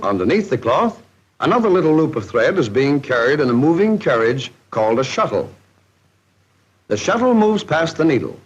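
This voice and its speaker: A man's voice: a little high, husky. An American man narrating a scripted 1940s promotional film in a jocular, folksy showman's manner. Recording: dull, band-limited 1940s film soundtrack.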